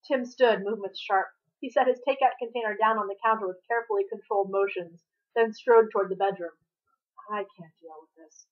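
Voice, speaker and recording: A woman's voice: mid-range voice, fairly even intonation, a little fast, dull audio. A woman reading fiction aloud as a narrator, in the manner of an author reading her own novel. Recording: thin, band-limited home recording.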